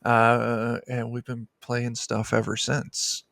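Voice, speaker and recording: A man's voice: mid-range voice, monotone, slow pace, creaky, fairly bright tone, no room sound, clean studio sound. A man, chatting casually in a jokey, rambling way as a guest. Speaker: guest, streamer. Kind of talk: casual chat. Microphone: close desk microphone on a video call.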